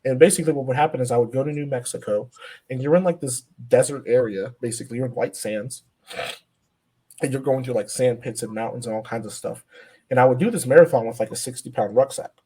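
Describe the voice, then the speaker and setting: A man's voice: mid-range voice, fast, warm tone, husky. A man in his twenties, a powerlifter guest on a podcast, talking earnestly with many fillers and restarts. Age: 20s. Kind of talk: casual podcast interview.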